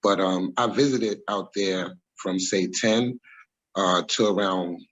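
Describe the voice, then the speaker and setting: A man's voice: low-pitched, slow, a bit bright, highs cut off. A man, a guest on a video-call interview, telling his story in a rambling, reflective way with many ums and restarts. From New York, USA. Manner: rambling, reflective, frequent ums and self-corrections. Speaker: interview guest, former music manager. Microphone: video call webcam.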